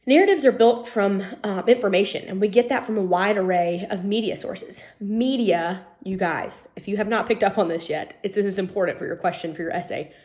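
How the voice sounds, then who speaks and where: A woman's voice: medium pitch, a little fast, few pauses, darkish tone. Woman, lecturer, head cold, sniffly, casual online lecture, frequent fillers, laptop mic. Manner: chatty, informal, many "um" fillers. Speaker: college lecturer.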